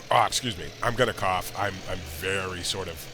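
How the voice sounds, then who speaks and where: A man's voice: deep voice, unhurried. Congested man rambling over engine noise. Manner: rambling, chatty asides while playing. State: congested, sick. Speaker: gaming channel host. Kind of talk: casual let's-play commentary. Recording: loud game engine noise underneath.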